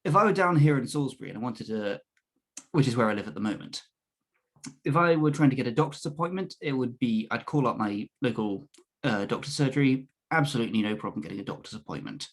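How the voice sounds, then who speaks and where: Measured young man from southern England. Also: mid-range voice, expressive. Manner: measured, self-correcting, thoughtful. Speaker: podcast co-host. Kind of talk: casual political discussion.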